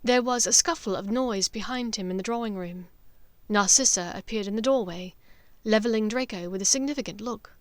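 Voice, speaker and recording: A woman narrating a fiction audiobook with dramatic, deliberate storytelling. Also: mid-range voice, no room sound.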